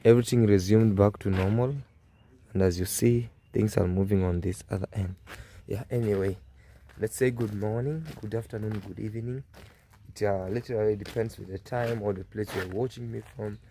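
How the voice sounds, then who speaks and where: A young man vlogging at his family home, soft-spoken and mournful, with a hoarse voice and many fillers. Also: on the low side, fairly even intonation, moderate pace, slight background noise. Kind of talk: casual vlog to camera.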